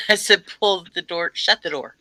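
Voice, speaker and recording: A woman's voice: on the low side, unhurried, no room sound. A woman on a live talk stream, chatting casually and telling a funny family story with laughs. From family from Arkansas. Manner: laughing, lively anecdote telling.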